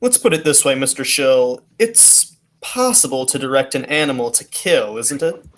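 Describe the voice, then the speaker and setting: A woman's voice: rumbling low, animated, normal speed. A woman playing a firm, businesslike male detective in a 1940s-style radio drama. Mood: stern, controlled.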